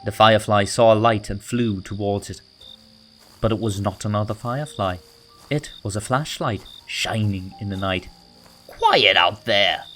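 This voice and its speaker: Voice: deep voice, normal speed. A narrator reading a children's story aloud from a script, in a storybook style. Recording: music bed under the voice.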